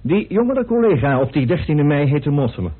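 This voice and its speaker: A man's voice: on the high side, very animated, fairly quick, breathy edge. An actor playing a grim, indignant man in a radio play, speaking emphatically and accusingly. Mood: bitter, accusing. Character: grim, indignant man bitterly revealing a hidden truth. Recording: muffled, narrow old radio recording.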